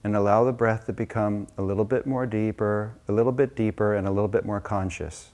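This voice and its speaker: A man leading a guided meditation as a teacher, giving slow, gentle, unscripted instructions with soft-spoken pauses. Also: fairly low pitch, steady tone.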